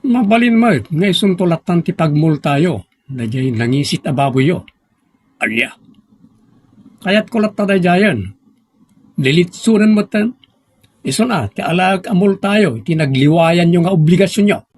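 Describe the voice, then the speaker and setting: A man playing an arrogant, overbearing engineer and department head in an Ilocano drama, with a husky voice. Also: sits high, animated, normal speed, no echo. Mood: bossy, demanding. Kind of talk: Ilocano audio drama acting.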